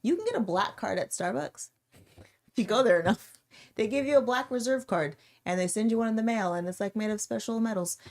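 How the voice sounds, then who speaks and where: A woman's voice: a little high, a little fast, darkish tone. A woman hosting a podcast, chatting in a bubbly, teasing way. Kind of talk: casual podcast chat.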